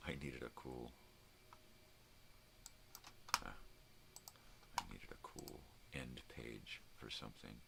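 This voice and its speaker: An older man with a very deep, gravelly voice chats casually in a rambling, drawn-out way, as a vlog host. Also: background noise. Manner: rambling, drawn-out, mild swearing.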